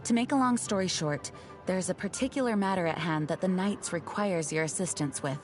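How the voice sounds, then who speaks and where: An actress playing a calm, dutiful knight leader in a game, speaking in a formal, measured way. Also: female voice, on the low side, music in the background, lightly acted. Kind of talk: game character dialogue.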